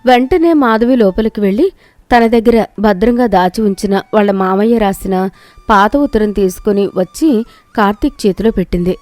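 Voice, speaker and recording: Female storyteller for moral tales, mellow and warm tone, dry close recording. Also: mid-range voice, moderate pace, no room sound. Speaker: story narrator. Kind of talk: scripted storytelling narration of a moral tale.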